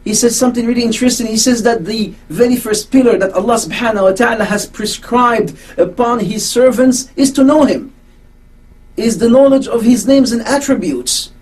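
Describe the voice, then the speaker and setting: A man's voice: very high voice, moderate pace, darkish tone, far from the mic, a little hiss or hum, poor audio. A man giving an earnest religious lecture, stressing his key words.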